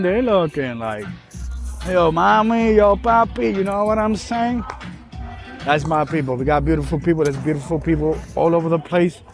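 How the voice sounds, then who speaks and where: A man's voice: fairly high pitch, dry recording, studio quality. A man from Puerto Rico, hosting a podcast in a loose, emphatic, street-casual way at an outdoor street festival. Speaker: podcast host. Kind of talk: casual solo podcast monologue. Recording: faint festival music in the background. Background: faint music.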